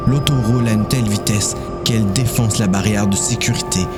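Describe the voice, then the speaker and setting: A man's voice: fairly low pitch, a little fast. A man with a Québécois accent giving a dramatic scripted narration of a story. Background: music.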